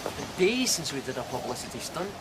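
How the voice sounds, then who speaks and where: An actor playing a disgruntled, scheming radio DJ with a Scottish accent, speaking indignant drama dialogue. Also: male voice, mid-range voice, big pitch swings, quick pace, background noise, natural voice.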